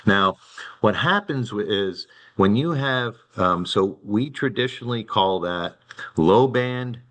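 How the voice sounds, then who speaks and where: A man with a very deep voice, a network representative presenting to a city commission, halting and full of fillers and restarts. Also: animated, fairly bright tone. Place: public meeting room.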